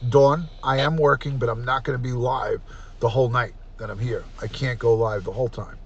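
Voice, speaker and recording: New guy hosting a livestream, chatty, repeats himself, keeps clearing his throat. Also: mid-range voice, steady tone, brisk, highs cut off, slight background noise. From New York. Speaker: livestream host, retired detective. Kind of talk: casual livestream chat, reading comments aloud.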